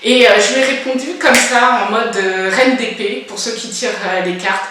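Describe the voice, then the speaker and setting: A woman's voice: on the high side, fairly even intonation, moderate pace, background noise. 40s woman vlogging, lots of ums, blunt, sounds far from the mic in a big echoey room. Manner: hesitant, many filler sounds, frank and blunt. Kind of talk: casual personal monologue.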